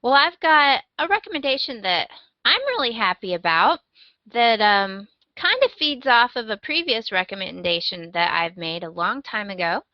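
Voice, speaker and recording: A woman's voice: a little high, lively intonation, moderate pace, dry recording, highs cut off. A woman co-hosting a podcast, chatting casually in a conversational, wry and emphatic way as she gives recommendations. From United States. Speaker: podcast co-host.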